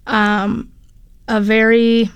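Chatty higher-voiced woman from California. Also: flat delivery, very slow, clear, resonant tone, no echo. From California, USA. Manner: chatty, with frequent fillers and switches into English. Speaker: interview guest, lawyer. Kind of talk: conversational storytelling.

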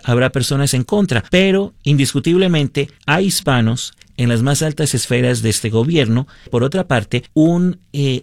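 A man from the United States hosting a radio show, giving measured, earnest commentary addressed to listeners. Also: mid-range voice, no echo, studio quality. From United States, born to Colombian parents. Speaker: radio host. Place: radio studio.

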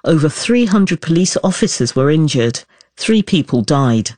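A man hosting a documentary podcast, reading scripted narration in a clear, emphatic way. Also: on the high side, very animated, normal speed, a little mellow, no echo.

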